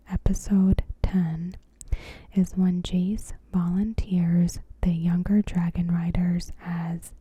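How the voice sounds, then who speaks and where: A woman ASMR host giving commentary in a hushed, whispery, breathy voice, in measured short phrases. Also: on the low side. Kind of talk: ASMR, softly spoken TV episode commentary.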